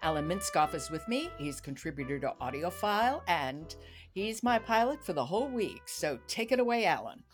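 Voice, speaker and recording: A woman's voice: fairly low pitch, sing-song, normal speed, faint music behind. A woman hosting a podcast, interviewing her guest in a conversational way. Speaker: podcast host.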